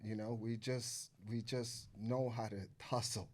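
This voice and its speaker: A Nigerian-born man who speaks with an American accent, chatting freely as a guest on a podcast. He talks loosely and swears casually, with his sentences leaning on repeated filler phrases. His pitch sits in an ordinary middle range for a man. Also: flat delivery, measured pace, a little mellow. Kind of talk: casual conversation.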